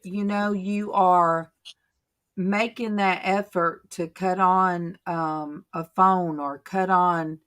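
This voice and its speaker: A woman, hosting a casual faith chat and telling stories in a chatty, drawn-out way. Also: fairly low pitch, fairly even intonation, fairly bright tone. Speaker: channel host.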